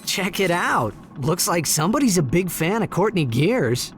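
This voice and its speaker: A man's voice: sits high, sing-song, moderate pace, fairly bright tone, faint music behind. A man playing a cocky, eager young adventurer in a video game, speaking casually and easily flustered. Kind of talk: video game cutscene acting.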